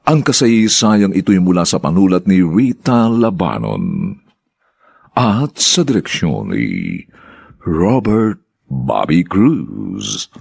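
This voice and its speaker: A man hosting a radio drama, reading scripted narration in a formal, dramatic and deliberate way. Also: sits low, very animated, creaky, a little mellow, husky, dry recording.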